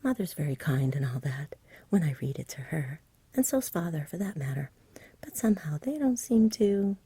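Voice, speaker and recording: A woman voicing a sensible, frank young boy from a storybook in a soft whisper, earnest, polite and matter-of-fact. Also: low-pitched, very animated, normal speed, slightly rough, dry recording, exaggerated voice. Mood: frank, friendly.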